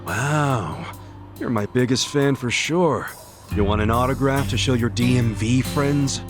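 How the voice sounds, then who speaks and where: A man with an American accent playing a gruff, defensive, hot-headed yakuza ex-convict in a video game. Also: medium pitch, a little slow, lightly acted. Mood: irritated. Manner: brash, defensive, slangy. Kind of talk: English video game dub acting. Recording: music behind the dialogue.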